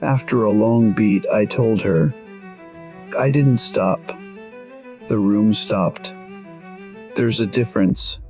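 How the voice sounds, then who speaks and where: A man with a husky voice narrating a scripted first-person story in a measured, reflective manner. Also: lowish voice, darkish tone, faint music behind.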